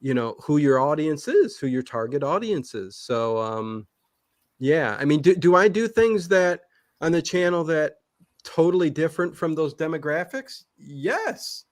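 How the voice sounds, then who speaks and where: An American man from Cleveland chatting casually as a livestream guest, excitable, often repeating himself and interjecting. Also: fairly high pitch, measured pace, no room sound, studio quality. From Cleveland, United States. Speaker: YouTube channel host, interview guest.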